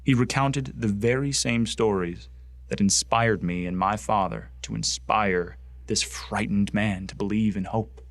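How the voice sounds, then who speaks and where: Man acting a bitter, low-voiced young military recruit. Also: no room sound. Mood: solemn, reverent. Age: young adult. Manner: earnest, deliberate storytelling with contemptuous emphasis. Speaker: actor in an audio drama. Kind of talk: dramatic monologue in a science fiction radio play.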